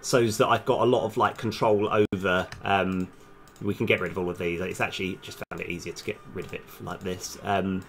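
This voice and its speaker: A man's voice: low voice, steady tone, a little fast, vocal fry, a bit bright, slight background noise. A man thinking aloud in a casual, hesitant, self-deprecating way while live streaming as a game developer.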